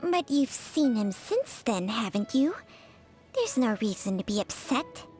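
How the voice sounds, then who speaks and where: A sly talking snake from a video game, sharp-tongued and teasing. The voice sits high and swings freely in pitch, with music underneath. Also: female voice, very animated, measured pace. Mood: coaxing. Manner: teasing, pointed. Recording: voice over background music.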